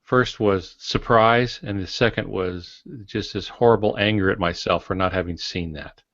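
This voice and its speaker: A middle-aged man with a low voice talks casually on a writing podcast. He explains things in a measured way with dry humor, and the recording is a little dull. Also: a bit bright. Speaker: podcast panelist, fiction writer. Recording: dull audio in echoey room.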